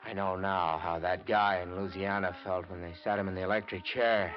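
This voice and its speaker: A man's voice: low-pitched, fairly even intonation, fairly quick, over music, lightly acted. A man playing a tough reporter who narrates his own story in a 1940s radio drama. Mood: grim. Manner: hard-boiled first-person narration. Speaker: narrator and lead character. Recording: muffled old radio broadcast.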